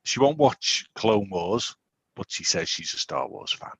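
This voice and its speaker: A man in his forties chats loosely on a podcast, starting and restarting his thoughts. His voice sits low with a husky edge. The sound is close and dry. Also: unhurried, no room sound. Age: 40s. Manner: rambling, animated, self-interrupting. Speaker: podcast co-host. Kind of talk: casual chat.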